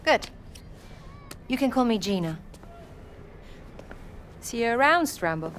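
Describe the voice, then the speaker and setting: A woman playing a sharp, guarded investigative journalist with an Italian accent in a cinematic game, probing and self-assured. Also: on the high side, big pitch swings, slow pace, slight background noise, full character voice. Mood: brisk.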